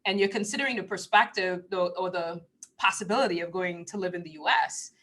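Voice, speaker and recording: A woman from Trinidad, giving a rambling live-stream talk as a spiritual teacher, laughing often and dropping into hushed asides. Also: on the low side, brisk. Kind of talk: casual live-stream monologue.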